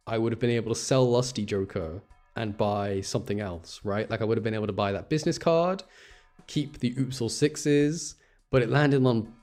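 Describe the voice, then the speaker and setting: Male game streamer, lowish mellow voice, chatty and mildly exasperated, faint music underneath. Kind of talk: casual live game commentary, thinking aloud.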